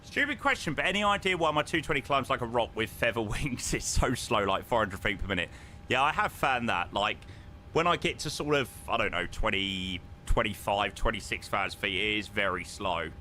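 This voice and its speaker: Man, London accent, young adult, casual streamer chat, animated pitch, light background rumble. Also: fairly quick. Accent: London English. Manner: chatty, joking, thanking viewers. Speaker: flight sim streamer.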